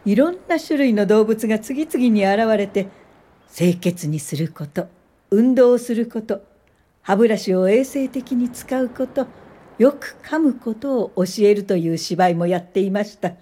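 Older woman, recalling her life: mellow, mid-pitched, gentle and measured radio drama narration. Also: animated. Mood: reflective. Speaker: narrator and lead character.

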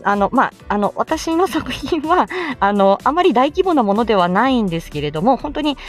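A woman's voice: on the high side, sing-song, normal speed, faint music behind. A woman hosting a casual solo audio show, giggly, self-deprecating and rambling through her announcements. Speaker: host of a morning audio show.

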